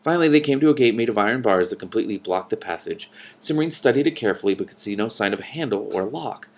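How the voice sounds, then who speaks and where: A man reading a novel aloud as host, with animated, casual reactions between passages. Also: fairly low pitch, fast talker, a little hiss or hum.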